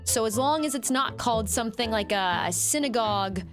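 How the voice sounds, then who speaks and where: Woman commentator, higher-pitched voice, scornful explanatory read over clips. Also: lively intonation, a little fast, music in the background.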